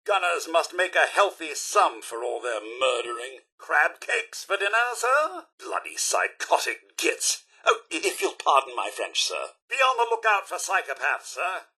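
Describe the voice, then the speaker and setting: A man's voice: a little high, sing-song, a little slow, hardly any pauses, pushed and bright, husky, exaggerated voice. An actor playing a polite, fussy, wry male robot butler in a video game, with a British accent and a formal, theatrically prim manner. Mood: indignant. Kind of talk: video game character voice lines.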